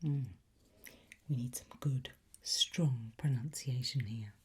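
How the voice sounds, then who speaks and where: Slow, breathy whispering woman. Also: rumbling low. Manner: slow, deliberate, coaxing instruction with pauses. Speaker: ASMR performer playing a language teacher. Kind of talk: whispered ASMR teacher roleplay.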